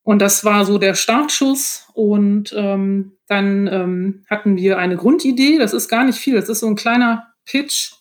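A woman's voice: mid-range voice, measured pace, few pauses. A woman explaining her work as a guest author in a casual, somewhat hesitant way.

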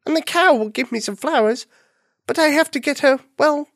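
A woman voicing a small, earnest young male bunny in a children's story, anxious and rushed, with a pleading manner. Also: sits high, fairly bright tone, dry recording, studio quality, pitched above the performer's own voice. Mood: urgent, pleading.

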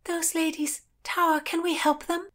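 A woman performs a young female fugitive from a storybook, pitched well above a narrator's range. She is plucky and earnest, pleading in formal, old-fashioned phrases with real desperation. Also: level tone, moderate pace, fairly bright tone. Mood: urgent shouting. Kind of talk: audiobook character acting.

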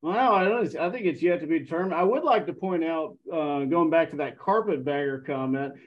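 A man's voice: a little high, a little fast, highs cut off. A man speaking as a guest on a sports podcast, rambling with many fillers. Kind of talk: casual sports chat.

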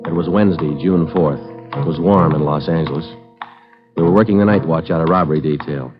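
A terse detective sergeant with a very deep voice. He speaks in clipped, matter-of-fact sentences. The sound is dull and lo-fi, like an old radio broadcast. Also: a little fast, music in the background. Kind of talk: first-person noir narration and dialogue.

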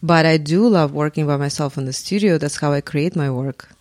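A woman in her forties with a Russian accent, a guest on a podcast, speaking thoughtfully with frequent pauses and ums. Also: low voice, darkish tone, dry recording, clean studio sound. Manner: thoughtful, hesitant with many ums. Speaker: guest artist and professor. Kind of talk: podcast interview.

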